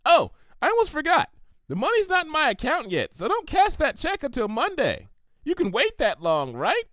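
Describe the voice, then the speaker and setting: A man's voice: extremely high pitch, huge pitch swings, moderate pace, a bit bright, dry recording, studio quality, voice pushed up, full character voice. A man voicing a hyperactive, cheerful young female cartoon pony with a bubbly, gushing, rambling manner.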